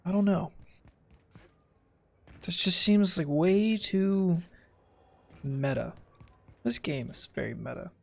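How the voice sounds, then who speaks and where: A man's voice: high voice, animated, takes it slowly, creaky, pauses often. A man giving casual, sarcastic commentary while playing a video game, with muttered asides. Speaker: gameplay streamer.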